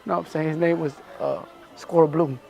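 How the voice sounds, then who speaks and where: A man's voice: fairly high pitch, steady tone, moderate pace, vocal fry, dark tone, soft background music. A man in casual improvised prank banter, playing a store employee, insistently prodding and repeating himself. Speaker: prankster posing as store employee with walkie-talkie.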